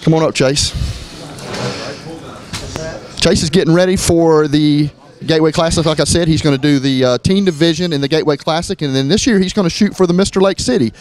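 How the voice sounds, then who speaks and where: A man's voice: on the high side, fairly quick. Middle-aged man giving a gym tour. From Florida. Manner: relaxed, with frequent fillers and restarts. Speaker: gym owner. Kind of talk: walkthrough tour, casual narration. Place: gym indoors, moving through rooms.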